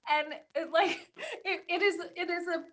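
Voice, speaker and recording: A woman co-hosting a casual podcast chat, reminiscing and telling stories in an animated way. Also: very high voice, measured pace. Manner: animated storytelling with acted-out quotes. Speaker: podcast co-host.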